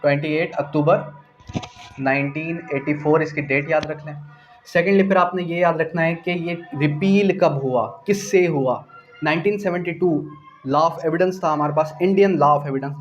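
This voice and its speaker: A man's voice: fairly high pitch, dull audio. A man with a Pakistani accent giving a didactic law lecture, repeating phrases, asking rhetorical questions and mixing in English legal terms. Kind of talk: exam-prep lecture, explaining point by point.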